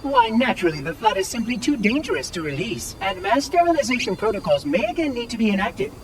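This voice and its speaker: Fussy polite little robot, super animated, chirpy and formal, noise behind, game cutscene. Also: male voice, extremely high pitch, fast, distant mic. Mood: matter-of-fact, fussy. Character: polite, eccentric, chirpy floating robot caretaker. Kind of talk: video game cutscene acting.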